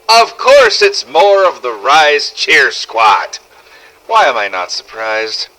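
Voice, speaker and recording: A man's voice: medium pitch, pushed and bright, poor audio. A man playing a weary, sarcastic survivor of a zombie outbreak, narrating first-person audio logs in a scripted game-story style. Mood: wry. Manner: sardonic, exasperated, swearing.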